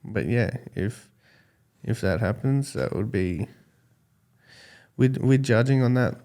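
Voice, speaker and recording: An Australian man in his forties with a calm, smooth voice, chatting casually as an interview guest and thinking aloud in a halting way. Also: mid-range voice. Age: 40s. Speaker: podcast guest, skateboarding judge.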